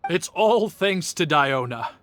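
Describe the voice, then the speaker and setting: A man's voice: a little high, lively intonation, takes it slowly, fairly bright tone, faint music behind. A man playing an earnest, polite young bartender in a video game, modest and a little hesitant. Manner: polite, slightly hesitant, thoughtful. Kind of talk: English video game voice acting.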